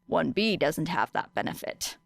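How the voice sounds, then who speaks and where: A woman voicing a grumpy, sardonic teenage boy student with deadpan, grumbling delivery in an audiobook. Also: low-pitched, moderate pace, creaky, no echo, studio quality, exaggerated voice. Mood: grim. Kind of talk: audiobook character dialogue.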